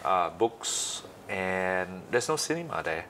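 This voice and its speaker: A man in his late thirties with a Malaysian accent, speaking haltingly and pausing often in a conversational interview as the guest. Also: low voice, sing-song, bright, forceful tone, slight background noise. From Malaysia, east coast. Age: late 30s.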